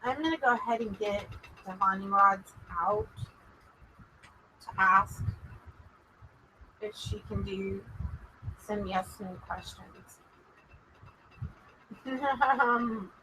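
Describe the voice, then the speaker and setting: A woman's voice: medium pitch, slow, long pauses, sharp tone, slight background noise, poor audio. A woman with a Texas accent hosting a casual livestream as a psychic medium, chatting and rambling to her viewers. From Texas, USA. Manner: chatty and rambling, drawling, addresses viewers as "y'all".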